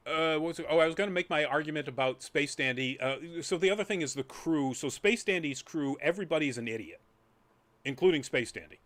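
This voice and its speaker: A man's voice: on the high side, animated, a little fast, a bit bright. A man giving a casual, rambling spoken review as a podcast host, trailing off into asides.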